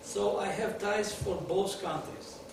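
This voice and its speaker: A man's voice: sits high, fairly even intonation, slow, vocal fry. Man, joking manner, broken English, roomy hall echo, background noise. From Soviet Union, grew up in Kyiv. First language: Russian. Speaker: guest speaker at a benefit. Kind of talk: informal talk to an audience. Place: lit stage in an arts center room. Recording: echoey hall, distant mic, noisy.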